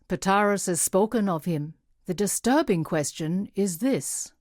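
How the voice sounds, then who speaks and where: A woman performs a solemn, unyielding female leader of a tribe for a video game. She speaks slowly and formally, weighing each statement with stern dignity. Her pitch sits in the middle for a woman, and the sound is dry, with no room around it. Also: a little mellow, no echo, close to an everyday voice. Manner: formal, measured, grave, declarative delivery. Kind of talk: scripted video game character voice acting.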